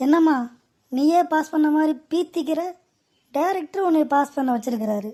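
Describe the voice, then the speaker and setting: A young, sardonic Tamil cartoon character with a high but mellow voice, acted by a performer. The figure teases relatives mockingly in a comic soap parody. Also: expressive, normal speed.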